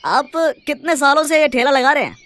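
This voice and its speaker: A woman voicing an upbeat, curious male street-food interviewer in an animated story. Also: high voice, a little fast. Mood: enthusiastic. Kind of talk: presenting, animated story acting.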